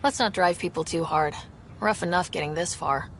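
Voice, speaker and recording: An actress playing a resolute young explorer and leader in a space game, direct and firm. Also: female voice, on the low side, normal speed, a bit bright, a little hiss or hum. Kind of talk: video game cutscene acting.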